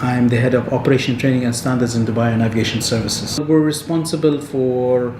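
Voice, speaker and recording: A man's voice: mid-range voice, steady tone, brisk. A man working as a training head, explaining procedures in an interview. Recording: echoey room, clear background noise.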